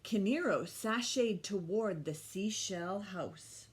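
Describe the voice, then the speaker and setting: A woman's voice: medium pitch, lively intonation, takes it slowly. A woman hosting storytime for young children, talking to them in simple questions and short phrases and reading aloud expressively. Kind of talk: children's storytime, talk and picture-book read-aloud.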